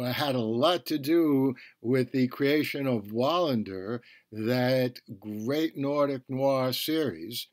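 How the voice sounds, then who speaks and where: A man presents a podcast review at a very unhurried pace. His middle-register voice is deliberate in its phrasing. The sound is dry, with hardly any room to it. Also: mid-range voice, no echo. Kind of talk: scripted review narration.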